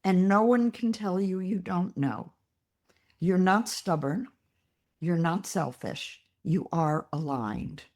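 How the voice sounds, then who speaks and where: A woman psychologist talking as a guest, explaining ideas in a measured, teaching manner. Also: on the low side, darkish tone.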